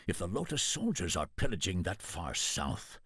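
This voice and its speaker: Deep, husky, solemn samurai advisor. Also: male voice, big pitch swings, moderate pace, a bit bright, no room sound, studio quality, full character voice. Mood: earnest counsel. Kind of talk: video game cutscene acting.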